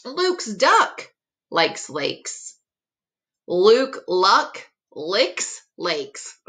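A middle-aged woman teacher reading a rhyming picture book aloud expressively, articulating tongue twisters with deliberate care. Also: a little high, pushed and bright. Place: small room at home, indoors.

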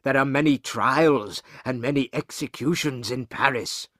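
A man voicing a banker who gravely delivers alarming news in measured, emphatic sentences, in an audiobook. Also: mid-range voice, fairly bright tone, no echo, studio quality, natural voice. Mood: grave, urgent.

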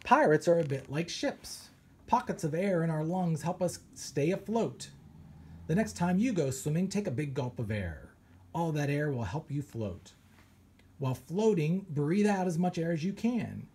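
A man, a children's author, reads a science picture book aloud with animated storytelling and pirate flair. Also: a little high, normal speed, a little hiss or hum. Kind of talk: expressive read-aloud.

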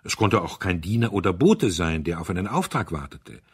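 Male narrator for literary audio drama: lowish husky voice, suspenseful and measured delivery. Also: expressive.

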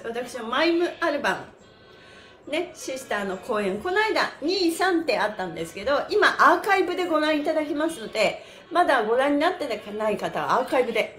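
A woman hosting a livestreamed exercise class, chatting to viewers in a cheerful, energetic, polite presenter manner. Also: on the high side. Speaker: host of a livestreamed stretching class, pantomime performer. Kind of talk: upbeat exercise instruction and casual livestream chat to viewers. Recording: roomy echo, slight background noise.

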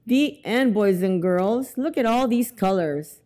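Casting: middle-aged woman, children's storytime reader, animated pitch, unhurried delivery. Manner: expressive, deliberate reading to young children. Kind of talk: children's picture book read-aloud. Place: indoors in a library, among bookshelves. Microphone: lapel mic.

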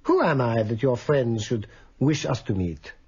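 An actor playing a courteous, probing Viennese physician in a radio drama, speaking with a Central European accent in a polite, measured way. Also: male voice, medium pitch, expressive, dark tone, slightly rough, highs cut off, exaggerated voice. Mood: calm, probing. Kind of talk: BBC radio drama acting.

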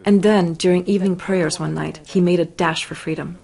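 A woman's voice: fairly low pitch, steady tone, brisk, creaky, a little mellow. A woman reading scripted narration for a news report.

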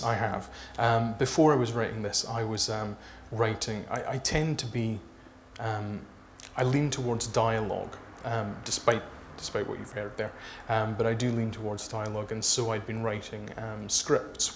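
A man in his thirties speaking haltingly as an author being interviewed on stage. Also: fairly low pitch, fairly even intonation. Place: small dark stage before a seated audience. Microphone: lapel mic. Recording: noisy live event room recording.

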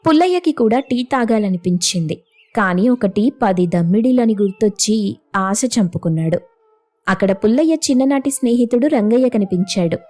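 A woman's voice: on the high side, animated, normal speed, a little mellow. A woman narrating a scripted moral story as a storyteller.

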